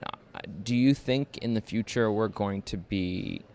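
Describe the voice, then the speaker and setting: A man's voice: fairly low pitch, a little slow, darkish tone. A man interviewing film guests for a campus radio station, asking long questions hesitantly with fillers and restarts.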